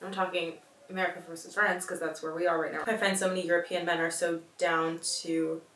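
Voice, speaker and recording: A woman chatting casually as a vlog co-host, relaxed and slangy, giving her view over a glass of wine. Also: on the low side. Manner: relaxed, slangy, quick to laugh. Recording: roomy sound with faint music.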